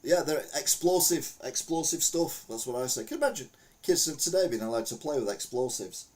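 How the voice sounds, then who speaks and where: A man's voice: medium pitch, animated, a little fast, a little mellow, recorded from a distance. Jokey British man, off-mic. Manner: wry, jokey, rambling with many fillers. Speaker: podcast host. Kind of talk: casual news commentary.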